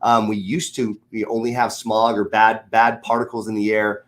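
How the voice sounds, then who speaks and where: A man with a nasal voice, guesting on a casual chat and talking in long animated run-ons. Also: lowish voice, normal speed. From Southern California.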